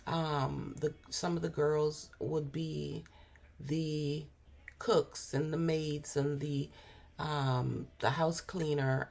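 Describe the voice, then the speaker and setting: Low, gravelly hoarse woman. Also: darkish tone. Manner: unhurried, musing, frequent fillers. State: hoarse with a cold. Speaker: tarot reader. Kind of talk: spiritual tarot reading to camera.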